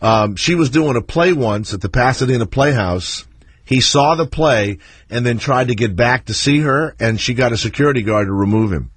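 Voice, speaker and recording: A man's voice: medium pitch, normal speed, breathy edge, no room sound. A middle-aged man hosting a call-in radio show, joking loosely and rambling with restarts. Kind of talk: casual call-in radio banter. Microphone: studio microphone.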